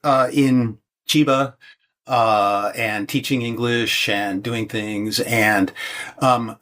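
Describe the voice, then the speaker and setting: American guy about seventy, guest on an interview, lots of um and restarts, middle pitch. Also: fairly even intonation, slow pace, a bit bright. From United States. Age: 70s. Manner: hesitant, many restarts and fillers. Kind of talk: casual interview conversation.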